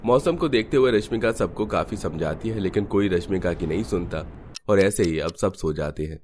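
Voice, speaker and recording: A man's voice: deep voice, moderate pace, darkish tone, a little hiss or hum. A man narrating a scripted cartoon family story.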